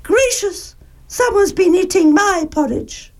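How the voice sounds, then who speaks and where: Storybook mother bear, acted by a woman: genteel, prim, high and swooping, fussily motherly. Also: lively intonation, takes it slowly, a little mellow, heavily acted. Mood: prim dismay. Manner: fluttery, prim, exclaiming. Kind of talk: children's storybook character voice.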